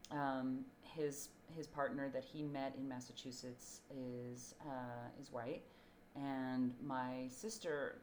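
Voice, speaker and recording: A woman with a very low voice, hoarse and rough from cheering at a game. She co-hosts a podcast in an easygoing, animated way, full of fillers. A faint hiss sits behind her. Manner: chatty and animated, with lots of fillers. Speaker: podcast co-host. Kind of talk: casual conversational chat.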